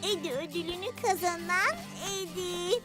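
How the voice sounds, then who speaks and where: A woman voicing an eager, earnest little girl in a children's cartoon dub, expressive and a bit bashful. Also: very high voice, normal speed. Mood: happy, generous. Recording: music behind the voice.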